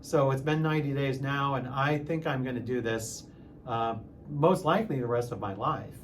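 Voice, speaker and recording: A man of sixty talking casually in a personal vlog, halting at times and repeating phrases for emphasis. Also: mid-range voice, slight background noise. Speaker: music teacher and channel host.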